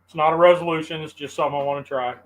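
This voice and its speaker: A man's voice: on the high side, fairly even intonation, very fast, a little hiss or hum, rough recording. A man, hosting a live cooking stream in easygoing chat and talking to his viewers. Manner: easygoing and chatty, talks to the chat.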